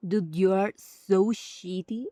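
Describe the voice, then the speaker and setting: Slow-paced woman reacting to a game. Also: medium pitch, dry recording. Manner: expressive, blunt, swearing. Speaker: video game streamer. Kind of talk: casual reaction commentary over gameplay.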